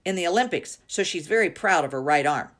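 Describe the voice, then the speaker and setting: A woman's voice: fairly low pitch, lively intonation, fast, fairly bright tone. A woman voicing a feisty older schoolgirl in a storybook, telling tales in an emphatic, boastful, battle-worn way. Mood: gleeful, dramatic.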